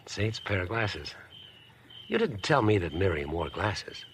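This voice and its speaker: An actor in a 1950s American radio drama playing a charming, insistent murderer from a wealthy family, with a wheedling, over-familiar manner. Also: male voice, low voice, sing-song, moderate pace. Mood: smug, confiding. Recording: noisy old radio broadcast recording.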